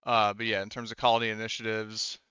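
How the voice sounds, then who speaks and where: A man's voice: on the low side, level tone, fast, bright, forceful tone, smooth, dry recording, lo-fi sound. A man casually narrating a tabletop game as game master, improvising in informal, rambling, hesitant phrases.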